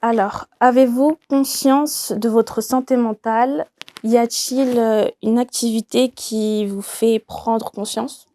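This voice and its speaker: A woman's voice: on the high side, fairly even intonation, slow, warm tone. A woman of twenty hosting a youth discussion panel, asking questions with some hesitant fillers. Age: 20s. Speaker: host.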